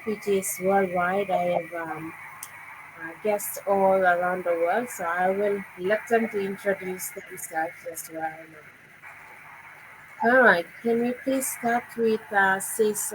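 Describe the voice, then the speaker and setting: A woman from Papua New Guinea hosting a live online chat over a video call, with hesitant fillers. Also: medium pitch. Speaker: host. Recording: noisy video call audio.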